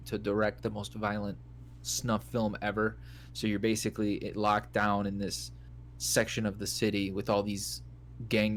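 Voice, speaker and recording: Laid-back low-voiced podcast host. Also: male voice, slight background noise. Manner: relaxed, joking, reads in a mock newscaster voice. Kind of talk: casual gaming chat, reading list items aloud.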